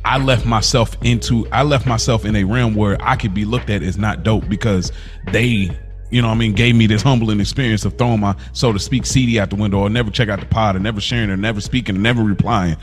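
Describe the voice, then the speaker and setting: A man's voice: on the low side, fairly even intonation, fast talker. An American man co-hosting a casual podcast, talking in an emphatic, encouraging way and often repeating himself for effect. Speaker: podcast co-host. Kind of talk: casual chat. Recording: music playing behind the talk.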